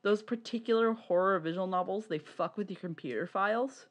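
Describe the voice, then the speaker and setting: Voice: mid-range voice, a little fast. A podcast co-host chatting casually and swearing freely about a hobby. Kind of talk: casual podcast chat about visual novels.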